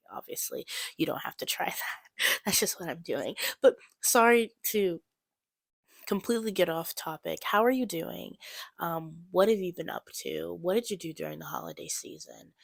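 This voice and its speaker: A woman's voice: sits low, creaky, dry recording. A teenage girl hosting her own podcast, talking in an earnest, encouraging, rambling way with a slightly stuffy nose and a bit of a sore throat. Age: teens. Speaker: podcast host. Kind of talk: solo casual podcast talk.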